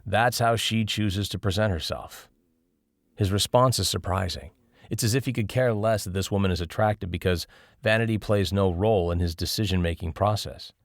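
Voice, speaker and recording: A man's voice: fairly low pitch, normal speed, no room sound, studio quality. A man reading a first-person travel memoir as scripted audiobook narration.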